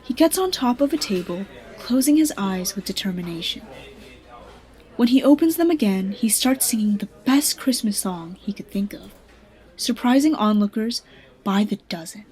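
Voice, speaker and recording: A woman narrating a radio drama from a script in a husky, breathy voice. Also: medium pitch, animated, moderate pace, darkish tone, low-quality recording.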